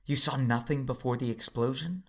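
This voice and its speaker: An actor playing a steady, weary Jedi father who narrates his own story in an earnest, measured audio drama style. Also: mid-range voice, lightly acted. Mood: somber, reassuring. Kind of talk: first-person dramatic narration and acting.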